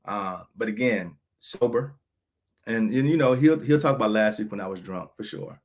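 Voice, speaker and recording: A man's voice: fairly low pitch, moderate pace. A man in his thirties giving an unscripted personal monologue, frank and aggrieved, often restarting and repeating phrases. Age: 30s. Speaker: vlogger.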